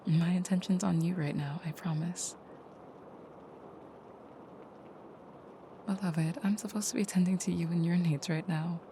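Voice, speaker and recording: Woman acting a soft, creaky-voiced vampire girlfriend. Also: fairly low pitch, fairly even intonation, fairly quick, slight background noise, natural voice. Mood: tender, apologetic. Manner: soft, hushed, hesitant, often dropping to a near whisper. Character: gentle, caring vampire girlfriend, soft-spoken and hesitant. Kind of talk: intimate audio roleplay, comforting the listener.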